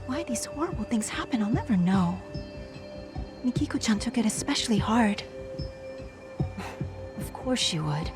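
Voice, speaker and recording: Gentle wistful game lady, conversational, kinda high voice, music behind her. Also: lively intonation, normal speed, pauses often. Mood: somber, sympathetic. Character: gentle adult woman recalling an old friend's tragedy. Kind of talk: video game cutscene dubbing.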